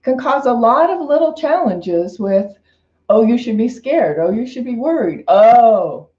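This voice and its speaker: A woman's voice: a little high, animated, unhurried. A woman minister giving an informal, unscripted spiritual talk in a reflective, conversational way, often repeating and rephrasing her phrases. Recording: roomy, slightly dull lo-fi sound.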